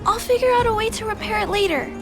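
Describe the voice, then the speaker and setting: A woman playing a gentle, compassionate little girl in a video game, hesitant and soft-hearted as she speaks. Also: very high-pitched, quick pace. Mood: alarmed, concerned. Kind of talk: video game character acting. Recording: music under the voice.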